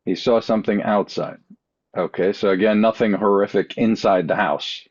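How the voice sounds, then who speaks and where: A man in casual chat as a podcast panelist, rambling through halting questions and guesses. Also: on the low side, fairly even intonation, darkish tone. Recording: dull lo-fi audio.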